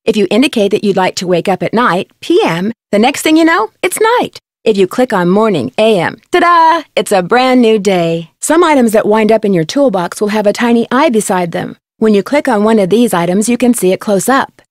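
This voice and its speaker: A woman playing a curious, upbeat young female detective in a video game, speaking clearly in a polite, friendly, inquisitive way. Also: medium pitch, lively intonation, fairly quick, few pauses, no room sound, studio quality, lightly acted. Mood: cheerful, instructive. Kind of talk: scripted video game voice acting.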